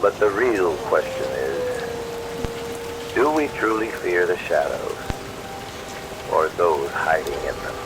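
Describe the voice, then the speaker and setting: Man playing a deep, brooding midnight radio host. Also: lively intonation, heavily acted, poor audio. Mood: ominous, brooding. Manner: theatrical, measured, ominous. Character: brooding male late-night radio host, ominous and poetic. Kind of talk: scripted late-night radio monologue, ASMR roleplay. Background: loud music and rain.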